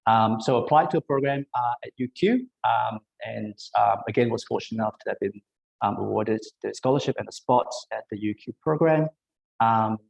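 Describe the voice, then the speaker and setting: A man in his thirties from Malaysia, giving an online career talk over a video call and pausing often with ums and uhs. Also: lowish voice, gravelly, darkish tone, dull audio. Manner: hesitant, many ums and uhs. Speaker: biomedical engineer, panel speaker.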